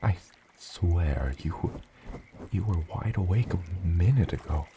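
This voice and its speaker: Guy doing a super deep slow dragon dad, soft asmr murmur going whispery, tender n proud, noisy watery rumble behind. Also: expressive. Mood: fond, hushed. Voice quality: very deep, gravelly. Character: gentle old father dragon, proud and doting. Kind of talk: ASMR roleplay, addressing the listener as his child.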